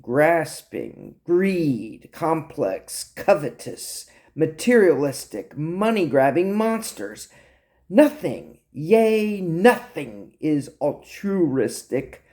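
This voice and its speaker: A man's voice: high-pitched, a little mellow, voice pushed up, exaggerated voice. Man playing a pompous, sneering island mercenary. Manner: pompous, mocking, grandiose. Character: sneering male island mercenary, theatrical villainous captive.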